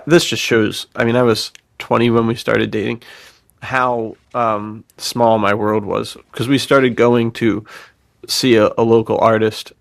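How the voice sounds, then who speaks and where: A man co-hosting a podcast, telling stories in a slangy, informal, slightly halting way. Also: lowish voice, vocal fry, a bit bright, dry recording. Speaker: podcast co-host. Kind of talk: casual chat, storytelling.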